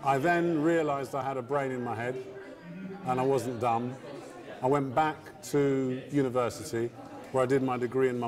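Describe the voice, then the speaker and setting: A man giving a motivational speech as a guest lecturer to students in a large hall. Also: mid-range voice. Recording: echoey hall with crowd sound.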